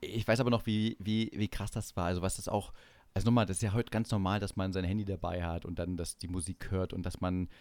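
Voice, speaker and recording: A man's voice: deep voice, level tone, fast, a little mellow. A middle-aged man casually telling stories as a podcast host.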